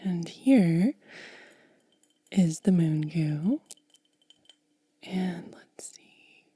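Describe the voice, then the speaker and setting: A woman whispering in soft-spoken ASMR style, gently and unhurriedly explaining each step close to the microphone. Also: fairly low pitch, lively intonation, extremely slow, dry recording. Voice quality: breathy whisper. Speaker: ASMR content creator.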